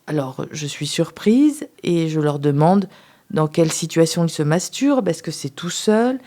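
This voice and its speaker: A woman who is a sex therapist, a guest on a radio talk show, speaking in a measured, thoughtful way with some hesitation. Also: deep voice, lively intonation, warm tone. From France.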